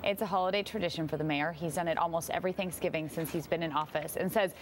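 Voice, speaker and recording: A woman's voice: on the low side, steady tone, fast, a little hiss or hum. A woman with an American accent presenting morning television news as an anchor, with some light banter.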